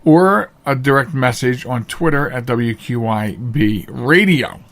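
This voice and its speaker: A man's voice: medium pitch, animated, measured pace, a bit bright. A man hosting a podcast with casual, chatty monologues to listeners, talking in a folksy, rambling showman's way. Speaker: podcast host.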